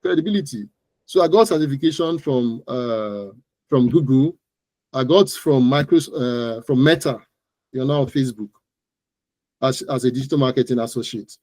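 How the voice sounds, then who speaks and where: A man's voice: medium pitch, moderate pace, mellow, no echo. A man with a Nigerian accent, explaining things as an expert interview guest in an emphatic, instructive, conversational way, often repeating phrases.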